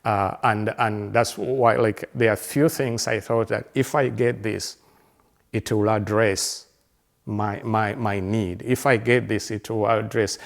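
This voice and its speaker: Man in his fifties from Uganda, low gravelly voice, earnest, halting delivery full of fillers and restarts. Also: steady tone. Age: 50s. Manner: halting, with many fillers, restarts and repeated words; earnest and reflective. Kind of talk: reflective personal conversation.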